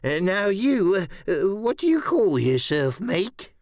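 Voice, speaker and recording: Castaway sailor, radio-play style: high, slow, rusty-hoarse, eager and sly, rambling like someone half-wild. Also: male voice, sing-song, dry recording, studio quality, full character voice. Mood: sly, pleased. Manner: halting, rambling, rustic sailor's speech with drawn-out words. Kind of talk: audiobook radio-play character acting.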